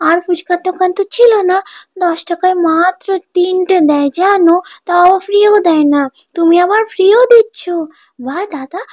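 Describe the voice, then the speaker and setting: A woman voicing an excited, chatty child customer in a children's cartoon, gushing eagerly. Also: very high voice, dry recording, studio quality, voice pushed up. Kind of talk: Bengali children's cartoon dubbing.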